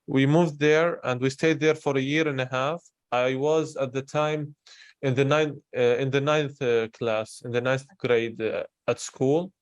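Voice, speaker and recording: A man's voice: mid-range voice, fairly even intonation, a little slow, dry recording. A young man from Syria, talking candidly about himself in a personal conversation. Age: young adult. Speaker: interviewer in a conversation.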